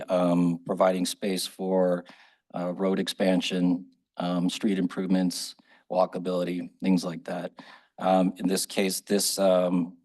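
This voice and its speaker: A man presenting a planning case at a public hearing, steady with frequent pauses and fillers. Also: deep voice, breathy edge, low-quality recording. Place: council chamber.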